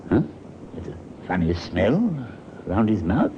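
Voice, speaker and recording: A man's voice: fairly low pitch, wildly swooping pitch, a little slow, dark tone. An actor playing a blunt, suspicious man of seventy-five in a 1950s radio drama. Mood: suspicious, stern. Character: gruff, suspicious seventy-five-year-old father. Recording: muffled, noisy old radio recording.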